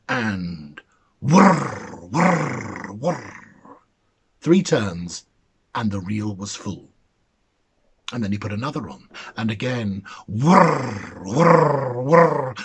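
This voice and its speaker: A man narrates a fairy tale with dramatic flair. His delivery is unhurried and swoops widely in pitch, and every phrase is placed deliberately. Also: slightly rough. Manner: theatrical, deliberate. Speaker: storyteller, reader.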